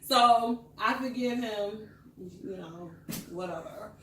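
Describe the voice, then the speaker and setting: A woman's voice: a little high, slow, distant mic. A woman hosting a loose chat show, talking at ease and laughing often, now and then turning preachy. She sits back from the mic in an echoey room. A faint hiss runs underneath. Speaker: podcast host. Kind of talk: casual group chat.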